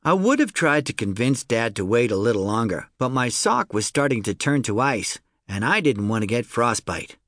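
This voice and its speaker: Male narrator, children's audiobook, animated intonation, medium pitch, conversational first-person storytelling, dry recording. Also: moderate pace, few pauses, dry recording.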